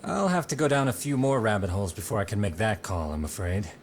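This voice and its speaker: An actor playing a measured, analytical internet investigator explaining findings in a video game cutscene. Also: male voice, on the low side, sing-song, a little hiss or hum.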